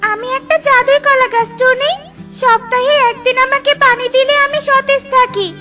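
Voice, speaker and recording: A woman voicing a kind, grateful magical banana tree in a children's cartoon, speaking in a gentle, reassuring way. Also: very high-pitched, level tone, normal speed, faint music behind, pitched above the performer's own voice. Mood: grateful, kindly. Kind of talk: children's cartoon story acting.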